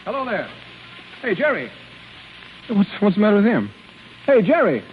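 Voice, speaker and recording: A man's voice: sits high, very animated, normal speed. A man acting a breezy, oblivious husband and teasing uncle in a 1930s radio drama, jovial, slangy and brusque. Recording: muffled, hissy 1930s radio broadcast.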